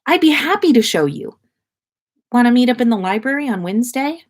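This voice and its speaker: A kind, shy schoolgirl from a storybook, played by a woman reader, talks in a lifted pitch. She sounds gentle, eager and earnest. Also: animated, fairly quick.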